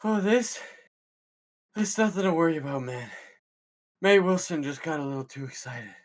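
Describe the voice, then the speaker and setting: A man playing an excitable young stoner at a party in a comedy film table read. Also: on the high side, moderate pace, lightly acted. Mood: cocky. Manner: slangy.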